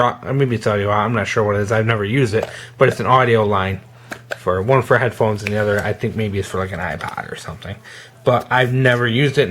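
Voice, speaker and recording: A man giving a casual, hands-on product demonstration in an informal, halting way as a gear reviewer. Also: mid-range voice, fairly bright tone.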